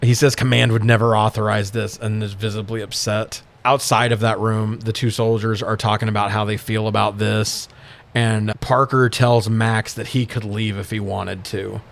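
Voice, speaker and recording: A man's voice: lowish voice, level tone, moderate pace, gravelly. Laughing, profane man recapping a film. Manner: profane, irreverent, often laughing through sentences. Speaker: podcast co-host recapping films. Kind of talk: casual comic film recap chat.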